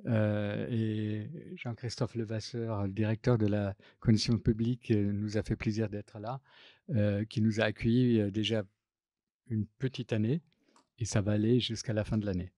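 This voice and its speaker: A man speaks in a mellow, dark-toned voice at an ordinary pitch, the sound dry and close with no room around it. French is not his first language. He gives his public talk in a halting, thoughtful way and often pauses on fillers and restarts his phrases. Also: little pitch movement, dry recording. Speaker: visual artist and typographer. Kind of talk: public talk, telling an anecdote.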